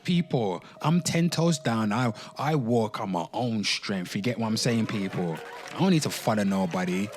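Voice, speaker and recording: A man's voice: on the low side, expressive, normal speed. A man hosting an online show, mixing casual, rambling, slangy commentary with dramatic reading aloud and repeating phrases for emphasis. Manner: slangy, frequently swearing, addressing listeners as people.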